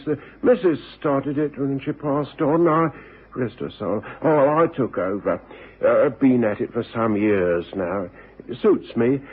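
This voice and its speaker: An actor playing a kindly, worried older English boarding house keeper who stammers hesitantly in a radio drama. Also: male voice, mid-range voice, slightly rough. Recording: tinny old radio broadcast.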